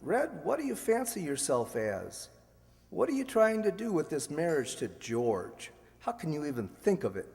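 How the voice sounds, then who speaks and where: A man's voice: a little high, huge pitch swings, normal speed, creaky, darkish tone, heavily acted. A man playing a witty, needling, urbane ex-husband in an amateur radio play, sardonic and theatrical. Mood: reproachful. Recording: echoey hall, long reverb.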